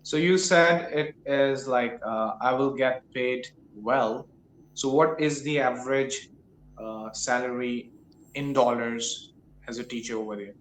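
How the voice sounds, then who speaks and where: Non-native english guy asking halting questions on a video call, roomy echo. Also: mid-range voice. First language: not English. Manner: short backchannels, halting questions. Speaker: English teacher interviewing a tutor. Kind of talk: casual video call chat.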